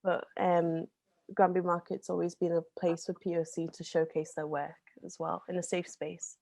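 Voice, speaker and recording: A woman's voice: lowish voice, level tone, moderate pace, creaky, a little mellow, no room sound. A young woman in her twenties from the North West of England, a guest chatting casually in a podcast interview, talking loosely with many fillers and restarts. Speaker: podcast guest, zine co-founder.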